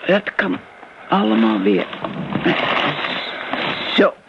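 An actress playing an eccentric, lonely older lady customer in a radio drama, rambling genteelly in a breathy, wistful voice. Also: rumbling low, big pitch swings, very slow, exaggerated voice. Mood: wistful, chatty. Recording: muffled, noisy old radio play recording.

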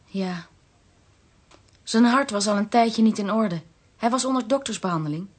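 A woman's voice: medium pitch, moderate pace, slightly rough, lightly acted. A woman acting a polite, bereaved wife in a radio play, courteous and subdued. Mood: wistful, composed. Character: polite bereaved wife hosting visitors with tea. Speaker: actress in a radio drama.

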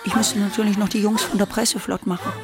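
A woman's voice: mid-range voice, little pitch movement, fast, natural voice. A woman playing a vain, greedy, world-weary Parisian courtesan in a German radio play, musing theatrically to herself. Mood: scheming. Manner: theatrical, self-absorbed, musing aloud. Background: music.

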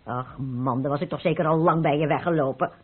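A woman's voice: sits low, a little fast, slightly rough. An actress playing a plain-spoken, loyal painter's wife in a radio play, homely and teasing in her talk. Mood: proud, teasing. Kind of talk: Dutch radio play acting. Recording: muffled old radio play recording.

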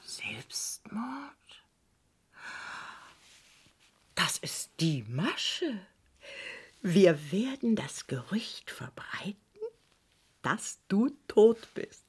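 An actress playing a capricious, scheming French wife in a vintage German crime radio play, coquettish, teasing and insistent. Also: female voice, mid-range voice, big pitch swings, extremely slow, darkish tone, breathy edge, heavily acted.

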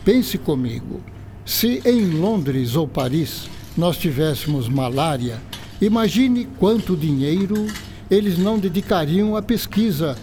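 Earnest Brazilian male scientist, courteous and didactic, higher voice, radio drama with faint noise. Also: lively intonation, takes it slowly, mellow. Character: earnest scientist doctor, courteous, patiently explaining. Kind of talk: educational radio drama acting.

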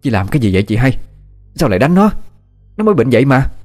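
A man's voice: fairly low pitch, expressive, fairly quick, mellow, soft background music. A man voicing an alarmed, protective middle-aged mother with a Southern Vietnamese accent in a dramatised audio story. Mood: indignant. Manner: exclaiming, scolding.

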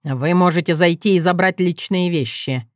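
A woman's voice: on the low side, moderate pace, no room sound, clean studio sound, natural voice. A woman voicing a restrained, exasperated male dragon lord who speaks formally and through gritted teeth in an audiobook story. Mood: grudging.

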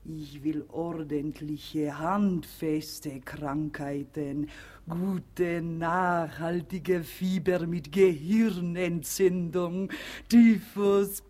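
Pompous, slow-talking quack doctor. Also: female voice, on the low side, dark tone. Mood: pompous, boastful. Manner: pompous, emphatic, dismissive. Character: boastful quack doctor, a maid in male disguise. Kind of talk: German radio play acting.

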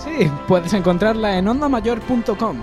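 A man's voice: high voice, animated, normal speed. A man playing an earnest, reassuring saviour announcer in a comic radio promo sketch. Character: helpful radio-advert saviour addressing little Timmy. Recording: loud music over the voice.

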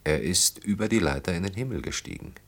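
An actor in a German radio play, playing a brooding engineer who speaks reflectively in halting, short phrases. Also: male voice, sits low, animated, fairly bright tone, exaggerated voice. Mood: melancholy, recounting. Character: brooding engineer haunted by wartime childhood and lost friends.

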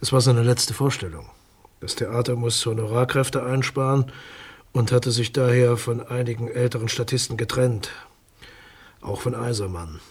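A man's voice: medium pitch, fairly even intonation, normal speed, breathy edge, lightly acted. An actor playing a guarded, dismissive male public prosecutor in a German radio crime drama, formal and condescending in his speech. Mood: officious.

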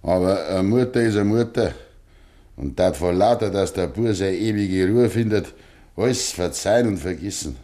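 An actor playing a stubborn, grieving old farmer with a Bavarian accent and quiet tenderness in a 1950s dialect radio play. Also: male voice, deep voice, normal speed, natural voice. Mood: quiet, confiding. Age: older. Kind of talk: 1950s German dialect radio drama acting.

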